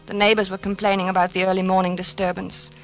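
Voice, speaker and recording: Female troubled wife, vintage radio suspense: measured, dramatic first-person telling, medium pitch, light musical backing. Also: flat delivery, close to an everyday voice, low-quality recording. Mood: grave, narrating. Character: anxious, troubled wife recounting her ordeal. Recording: old radio recording, music behind.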